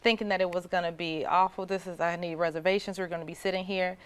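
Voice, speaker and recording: Woman guest reviewer, lowish, very smooth voice, anecdotal. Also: steady tone, fast talker. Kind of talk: casual chat.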